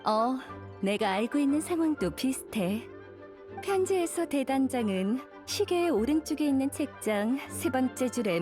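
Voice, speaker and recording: A woman playing an elegant, languid witch librarian in an anime game, coy and unhurried, with a gently caring, teasing manner. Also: sits high. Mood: thoughtful. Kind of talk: Korean anime game voice acting.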